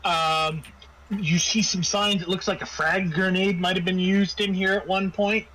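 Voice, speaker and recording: A man narrating a tabletop roleplaying game as game master, improvising scenes in a halting way as he thinks aloud. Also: sits high, little pitch movement, pushed and bright, slight background noise, poor audio.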